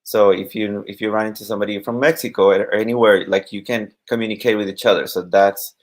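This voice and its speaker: A Costa Rican man in his thirties talks about teaching Spanish on a casual live stream. His voice sits fairly low. He speaks haltingly, with plenty of fillers and restarts. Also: fairly even intonation. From Costa Rica.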